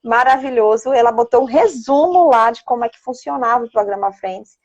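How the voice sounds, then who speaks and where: A woman in her thirties speaks in a fairly high voice with a Bahian Brazilian accent. She is chatty and informal, like a guest in a relaxed interview. The audio is slightly dull and lo-fi. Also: fairly quick. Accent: Bahian Brazilian Portuguese. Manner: chatty, prone to laughing, checking with "né". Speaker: interview guest, child psychologist. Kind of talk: casual conversational interview.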